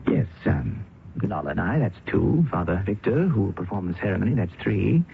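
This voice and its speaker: A man's voice: low-pitched, very animated, moderate pace, gravelly, exaggerated voice. An actor playing an idealistic young male doctor who speaks earnestly and with passion in a radio drama. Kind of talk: 1970s radio drama acting. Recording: tinny old radio broadcast, faint hiss.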